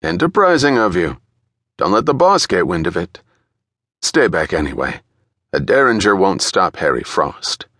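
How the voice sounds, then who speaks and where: A man's voice: deep voice, very animated, normal speed, fairly bright tone, dry recording, studio quality, full character voice. A man voicing a middle-aged veteran detective in an audiobook, a wry, teasing and mock-pompous mentor. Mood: teasing, cautioning.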